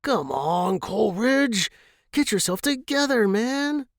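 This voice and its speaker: A man voicing a flustered, earnest, kindly gentleman explorer in a storybook, exclaiming with old-fashioned politeness. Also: high-pitched, big pitch swings, slow pace, dry recording, clean studio sound, pitched above the performer's own voice, full character voice. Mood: self-scolding. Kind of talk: storybook character dialogue.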